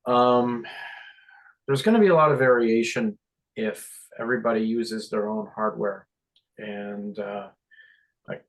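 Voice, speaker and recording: A man speaking hesitantly and haltingly as he thinks aloud in a group conference call discussion. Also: medium pitch, low-quality recording. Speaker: meeting participant, radio monitoring volunteer.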